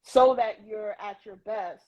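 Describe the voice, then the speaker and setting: A woman's voice: medium pitch, slow pace, crowd noise. A woman from Queens, New York, reflecting as a podcast guest in casual chat, with many "um" and "you know" fillers. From New York City, Queens. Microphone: phone on a live social video stream. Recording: lo-fi livestream audio.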